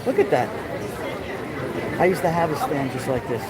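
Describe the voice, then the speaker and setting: A man's voice: a little high, big pitch swings, moderate pace, over a noisy crowd. A middle-aged man with a New York accent, hosting a casual walking tour on a live stream. He chats loosely and greets his viewers by name. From New York City. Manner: chatty, greets viewers by name, rambling asides. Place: outdoor city street during a festival, raining. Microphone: handheld phone camera. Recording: street noise around a phone mic.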